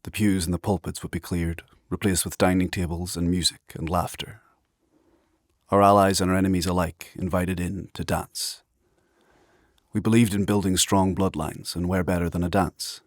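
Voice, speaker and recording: An actor playing a solemn monk who narrates his order's past in a measured, formal, reflective way for an audio drama. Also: deep voice, flat delivery, no room sound, clean studio sound.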